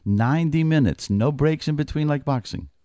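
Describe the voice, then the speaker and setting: A man's voice: fairly high pitch, moderate pace, mellow, no room sound. A middle-aged man from Greece, a wrestling commissioner, talking as a guest in a radio studio interview, earnest and prone to restarting his sentences.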